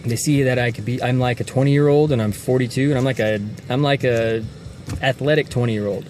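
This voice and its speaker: A man's voice: mid-range voice, steady tone, a little fast, darkish tone, slight background noise. A man in his forties talking casually to a phone camera from inside a car at night, rambling and restarting his sentences often. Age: 40s. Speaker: fitness vlogger.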